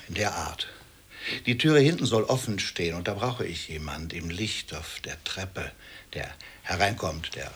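A man's voice: deep voice, a bit bright, a little hiss or hum, full character voice. An actor playing an effusively polite, insistent and exacting male portrait painter in a radio play. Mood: absorbed, planning.

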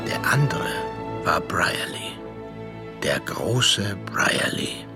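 An actor in a German radio drama playing a worldly, sardonic narrator, telling his story in a measured, reflective way. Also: on the low side, full character voice. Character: sardonic, worldly gentleman narrator recounting a sea inquiry.

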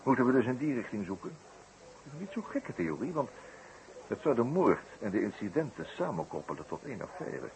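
A man's voice: medium pitch, sing-song, fairly quick, darkish tone. A man playing a shrewd detective in a 1960s Dutch radio drama, musing in a wordy, hesitant, drily ironic way. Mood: probing, ironic. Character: shrewd, wry male detective, musing and deliberate. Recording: noisy old 1960s radio recording.

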